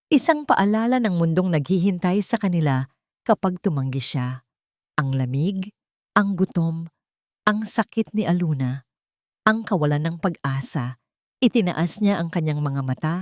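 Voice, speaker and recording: Filipina lady narrating a dramatic love story, kinda low voice, very dry recording. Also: a little mellow, no echo.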